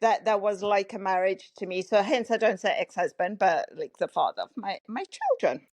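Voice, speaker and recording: A woman's voice: mid-range voice, brisk, no room sound. South African woman with Welsh twang. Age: 50s. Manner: chatty, animated storyteller. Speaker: podcast guest. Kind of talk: casual interview chat.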